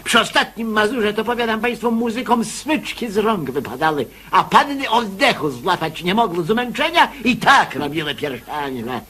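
A man playing a pompous, excitable party organiser who boasts and bustles, in a radio comedy. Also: sits high, normal speed, few pauses, slight background noise, exaggerated voice. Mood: boastful, excited. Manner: pompous, excitable, self-important. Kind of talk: theatrical radio comedy acting.

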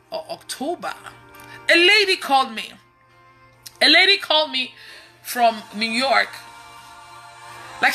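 Female online preacher, Nigerian accent, thirties. Forceful, slightly high voice, chatty testimony style, emphatic. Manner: animated, emphatic, repeats phrases for emphasis. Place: indoors in a room at home.

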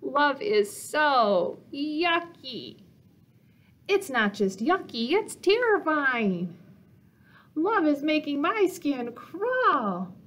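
A woman voicing excitable, squeamish little picture-book monsters in a dramatic, exclaiming manner. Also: high-pitched, drawn-out words, heavily acted. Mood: disgusted.

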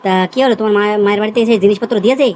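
A man's voice: high-pitched, fairly even intonation, very fast, a little mellow, slight background noise, lightly acted. A man voicing a brash, scheming young village husband in an amateur comedy cartoon. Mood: impatient. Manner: brash, colloquial.

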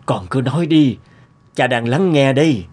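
A man voicing a gentle, worried old peasant father in a cartoon folk tale. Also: mid-range voice, animated, normal speed, lightly acted. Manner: gentle, doubtful.

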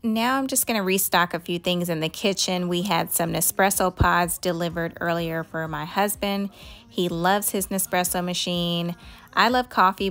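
A woman's voice: fairly low pitch, vocal fry, fairly bright tone. A woman doing a casual, conversational voice-over for a homemaking vlog, chatting in a friendly way. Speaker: homemaking vlogger.

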